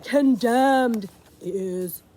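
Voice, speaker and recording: A middle-aged woman with a notably high voice reads a storybook aloud to a child. She is playful and theatrical, leaving dramatic pauses before important words. Between passages she drops into casual, chatty asides. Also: dark tone. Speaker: librarian reading a picture book aloud to a child.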